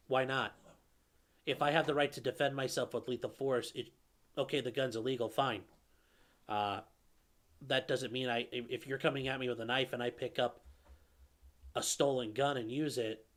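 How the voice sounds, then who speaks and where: A man with a middle-pitched, slightly nasal yet smooth voice talks through viewer questions on a live stream. He speaks casually, with plenty of fillers and restarts. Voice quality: calls his own voice nasally; a viewer calls it velvety. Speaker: lawyer host answering live chat.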